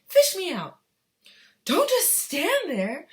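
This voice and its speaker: A woman's voice: extremely high pitch, wildly swooping pitch, slow pace, voice pushed up, heavily acted. A woman voicing a panicky, bossy mother who screams and snaps indignantly in a children's storybook read-aloud. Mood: frantic demanding.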